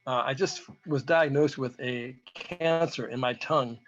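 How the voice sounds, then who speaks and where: A man with a hoarse voice gives an informal, halting technical presentation, explaining data as a researcher. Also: mid-range voice, a bit bright. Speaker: presenter, researcher giving a talk to beekeepers. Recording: dull, lo-fi audio.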